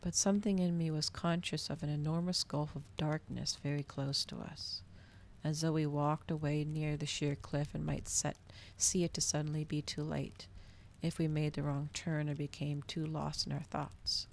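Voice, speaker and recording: Low, creaky-voiced woman reading ASMR. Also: little pitch movement, normal speed, a little hiss or hum. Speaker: streamer reading a novel aloud. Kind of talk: cozy ASMR audiobook-style reading.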